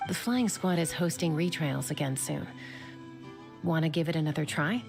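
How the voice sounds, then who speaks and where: A confident young rider talks in a low, gravelly voice. She sounds steady and encouraging. Game music plays faintly underneath. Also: moderate pace. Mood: friendly. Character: confident young woman flying rider, calm mentor. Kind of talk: video game voice acting.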